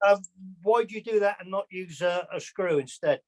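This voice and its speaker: A man's voice: sits high, normal speed. A man asking casual questions in a direct way, often repeating his words.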